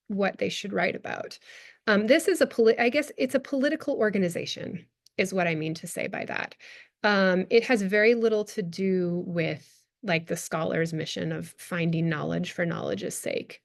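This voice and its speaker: An American woman in her thirties, an anthropologist answering audience questions at a talk, with many fillers, restarts and laughs. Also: medium pitch. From United States. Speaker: guest speaker, anthropologist. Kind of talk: lecture Q&A answer.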